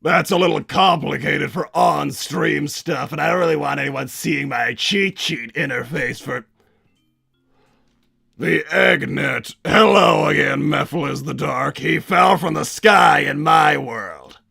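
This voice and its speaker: A man playing a pompous, irritable cartoon villain scientist and doting father, raspy and theatrical, bantering in character on a livestream. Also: a little high, unhurried, pushed and bright, faint music behind, exaggerated voice. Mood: grandly chatty. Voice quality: raspy, gravelly. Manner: theatrical, blustering, stammers when flustered. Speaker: livestream host reading donations.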